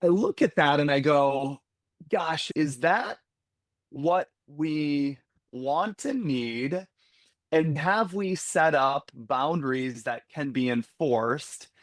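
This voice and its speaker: A man's voice: a little high, expressive, slow pace, no room sound, studio quality. A man guest on a podcast, talking candidly and conversationally, often restarting phrases as he thinks aloud. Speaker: healthcare security executive, podcast guest. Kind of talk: conversational interview.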